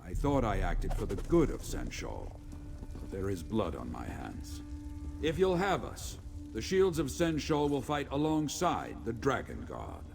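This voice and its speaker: A man playing a remorseful, resolute middle-aged general in a video game, with a gravelly voice and formal, measured military speech. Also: medium pitch, huge pitch swings, over music, exaggerated voice. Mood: contrite, resolute. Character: remorseful, resolute veteran male general, father of a grown daughter. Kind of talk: scripted video game character dialogue.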